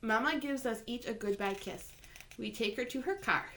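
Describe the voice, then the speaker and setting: Woman, picture-book read-aloud, expressive, slightly high voice. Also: normal speed, a little hiss or hum. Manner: expressive, brighter higher voice for the child's lines. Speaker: reader.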